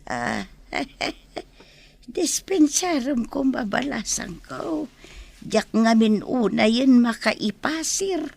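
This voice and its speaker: A woman playing a frail, gentle old mother in an Ilocano radio drama, tender and husky, with an Ilocano accent. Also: fairly high pitch, very animated, slow pace, exaggerated voice. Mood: weary, tender.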